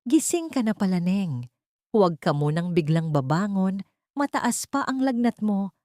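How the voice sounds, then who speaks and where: Kindly old male gardener played by a woman, soft-spoken, caring, mellow, for an audiobook. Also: medium pitch, sing-song, unhurried, no room sound, studio quality. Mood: gentle concern.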